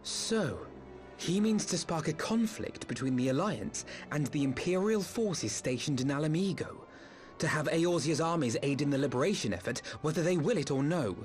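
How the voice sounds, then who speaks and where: A man's voice: a little high, animated, normal speed, soft background music, close to an everyday voice. A man playing an earnest, humble and eloquent young leader in a video game, with formal, articulate speech. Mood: grave. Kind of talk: video game cutscene acting.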